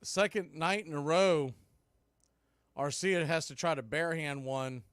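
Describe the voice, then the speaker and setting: A man calling baseball play-by-play in a relaxed, chatty way with wry asides. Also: fairly high pitch, measured pace, clean tone, no room sound, clean studio sound. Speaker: play-by-play announcer.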